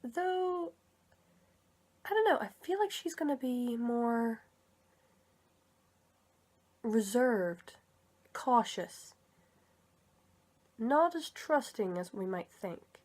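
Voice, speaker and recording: A woman's voice: on the high side, animated, very slow, pauses often, darkish tone. A woman talking casually to her viewers in a vlog, chatty and rambling, correcting herself as she goes, and a bit sick. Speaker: video creator and comic dub reader.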